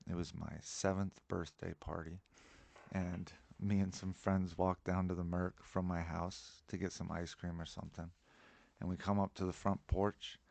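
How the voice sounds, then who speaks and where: Low gravelly man telling a story. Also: no room sound. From Colorado. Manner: relaxed storyteller, many fillers. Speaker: podcast guest, musician. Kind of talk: casual storytelling.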